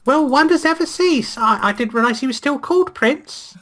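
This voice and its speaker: A woman's voice: high-pitched, lively intonation, normal speed, fairly bright tone. A woman co-hosting a let's play, joking dryly in casual banter. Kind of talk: casual banter over a game.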